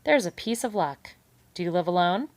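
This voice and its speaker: A woman voicing a big male beaver, a hearty, smooth-talking schemer in a read-aloud storybook. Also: expressive, moderate pace, no echo. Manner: jovial, booming, salesman-like.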